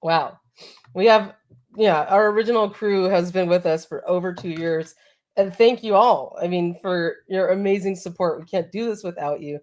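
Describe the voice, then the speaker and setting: A woman's voice: fairly low pitch, moderate pace, darkish tone. Congested woman hosting a live chat. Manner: upbeat, laughs often, reads out chat names. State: congested from allergies. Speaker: co-host. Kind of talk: casual live-stream chat.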